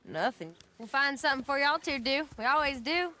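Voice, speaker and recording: A woman's voice: high-pitched, animated, a little fast, bright, forceful tone, a little hiss or hum. An actress playing a sassy young outlaw woman in a Western game, brash and goading. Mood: teasing. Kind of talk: Western video game acting.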